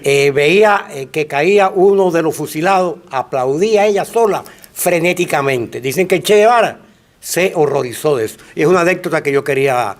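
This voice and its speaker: An older man with a slightly raised pitch moderates a panel. He speaks haltingly, with frequent "eh" fillers, as he recounts an anecdote. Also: lively intonation. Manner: hesitant, many fillers.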